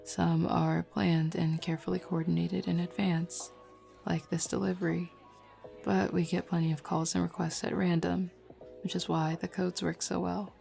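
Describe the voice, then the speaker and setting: A woman's voice: on the low side, little pitch movement, normal speed, gravelly, dark tone. A woman playing a flirty, self-assured werewolf pack beta in a roleplay audio drama, talking casually and teasingly to the listener. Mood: calm, explaining. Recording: lo-fi music bed behind voice.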